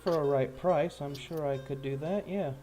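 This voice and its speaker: A man's voice: a little high, moderate pace, mellow, lightly acted. Man playing wary thief informant, guitar music behind. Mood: guarded, admiring. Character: wary low-life male thief and informant, casual and guarded.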